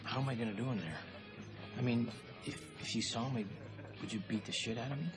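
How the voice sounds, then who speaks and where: A man's voice: mid-range voice, fairly quick, natural voice. An actor playing a selfish, glib businessman who is facing prison, in a whiny, self-justifying manner for a comedy film. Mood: nervous, glum. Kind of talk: live-action comedy film acting. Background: music.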